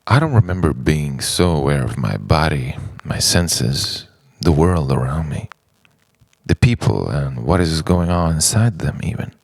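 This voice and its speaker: An extremely low-voiced man speaks an introspective spoken-word piece, slowly and in halting phrases. His gravelly delivery moves widely in pitch and sometimes sinks toward a whisper, with dramatic pauses between thoughts. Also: lively intonation, no echo. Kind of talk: introspective spoken-word monologue, poetic letter to himself.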